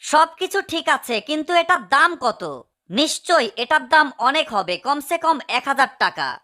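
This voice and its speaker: A woman voicing a small, scheming, excitable mouse in a cartoon dub. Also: high-pitched, a little slow, full character voice. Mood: amazed. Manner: eager, urging, exclaiming.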